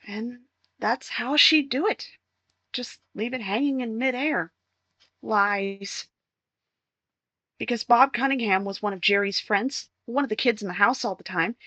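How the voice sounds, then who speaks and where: Actress as high-voiced, distraught young wife. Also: female voice, sharp tone, breathy edge, dry recording, muffled recording, heavily acted. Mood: anguished recounting. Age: young adult. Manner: emotional, halting, pleading. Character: distraught young wife confessing, pleading and wounded. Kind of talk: 1950s-style radio crime drama acting.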